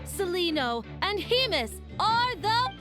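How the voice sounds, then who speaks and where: A peppy game tournament announcer with a very high voice. She is showy and theatrical, swinging widely in pitch over music. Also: big pitch swings, slow, full character voice. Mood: excited. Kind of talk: match announcing.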